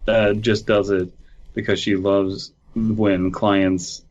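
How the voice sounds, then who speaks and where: A man's voice: on the low side, little pitch movement, takes it slowly, a little mellow, highs cut off. A man co-hosting a comedy podcast who reads a story aloud and keeps breaking into giggles during casual banter. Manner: giggly, breaks into laughter while reading. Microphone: online call mic.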